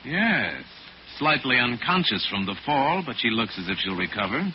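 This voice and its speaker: An actor playing a calm, authoritative crime-fighting detective in 1940s radio style, with measured, formal, explanatory delivery. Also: male voice, mid-range voice, natural voice. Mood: assured, explaining. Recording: noisy, muffled 1940s radio recording.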